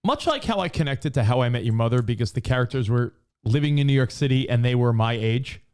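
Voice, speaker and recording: A man in his forties co-hosting a podcast in casual chat, animated and fond of acting out quoted voices. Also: mid-range voice, quick pace, clean tone. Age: 40s. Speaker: podcast co-host.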